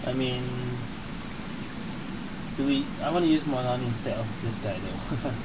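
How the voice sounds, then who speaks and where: A woman's voice: extremely low pitch, moderate pace, very noisy. A woman giving casual, offhand gameplay commentary, muttering to herself as she plays. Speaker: gamer commentator. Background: loud game music.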